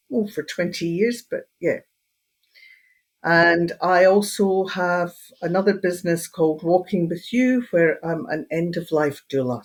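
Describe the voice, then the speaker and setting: An older woman from Edinburgh, Scotland, whose Scottish accent has taken on an Australian edge, speaking calmly and with pauses as an interview guest. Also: on the low side. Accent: Scottish, Edinburgh, mixed with Australian. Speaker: guest, hypnotherapist and end-of-life doula. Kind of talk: casual interview conversation.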